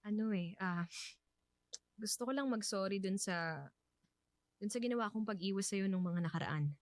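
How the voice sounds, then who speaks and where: A woman with a Filipino accent playing a tender widow in a radio drama, softly and hesitantly opening her heart to love again. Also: mid-range voice, normal speed, no room sound, studio quality, close to an everyday voice. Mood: shy, apologetic. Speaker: radio drama actress and narrator.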